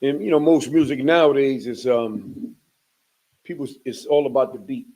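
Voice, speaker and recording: A man's voice: medium pitch, moderate pace, darkish tone, slightly rough, poor audio. A man hosting a casual interview, easygoing and encouraging, with slangy talk. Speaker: podcast host.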